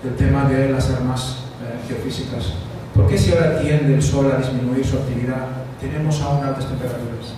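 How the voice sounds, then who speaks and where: A man from Spain with a Spanish accent, giving a conference talk with frequent pauses and "eh" fillers. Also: mid-range voice, fairly even intonation. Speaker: conference speaker. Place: conference hall. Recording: distant mic in echoey hall, noisy.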